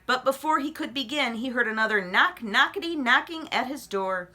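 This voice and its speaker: A woman reading a children's story aloud as an expressive narrator. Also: high voice, normal speed. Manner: animated, addresses young listeners with questions.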